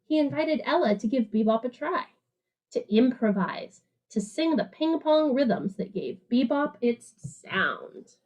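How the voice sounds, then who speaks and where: Woman reading as high-voiced jive-talking alley cat. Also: lively intonation, a little slow, a little mellow, low-quality recording. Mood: swinging, enthusiastic. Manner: slangy, jive-talking, rhythmic, playful emphasis. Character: streetwise jazz-loving alley cat narrator, hip storyteller. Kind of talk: picture-book read-aloud narration.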